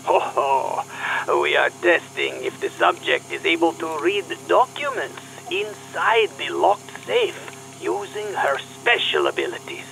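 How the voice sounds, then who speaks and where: A man's voice: fairly high pitch, expressive, measured pace, creaky, sharp tone, a little hiss or hum, heavily acted, poor audio. A man playing an eccentric Soviet scientist in a radio drama. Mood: smug, eager. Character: eccentric Soviet KGB scientist, pleased with his test subject.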